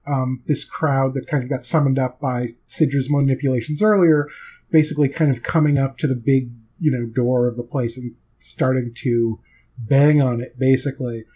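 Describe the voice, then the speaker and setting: Male game master, soft-edged husky voice, loose improvised narration, dull lo-fi sound. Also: medium pitch, fairly even intonation, moderate pace. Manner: conversational, hedging with kind of.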